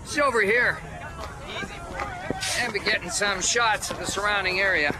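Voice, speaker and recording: An actor playing a callous, chatty American police photographer in a radio drama, talking in a casual, cynical way. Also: male voice, high voice, moderate pace, crowd noise, lightly acted. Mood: matter-of-fact. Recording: outdoor noise with traffic behind.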